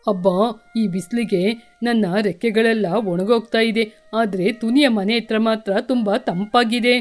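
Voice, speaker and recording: A kindly cartoon eagle, voiced by a woman, fairly high in pitch. The bird speaks courteously and approvingly, with music underneath. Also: steady tone, normal speed, lightly acted. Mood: weary, grateful. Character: kindly eagle elder visiting the birds. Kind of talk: children's cartoon dubbing.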